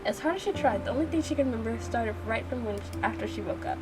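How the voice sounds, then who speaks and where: A woman's voice: on the high side, expressive, fast talker, poor audio. A woman hosting a game playthrough, narrating it and adding playful, slangy, casual reactions. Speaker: gaming channel host. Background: background music.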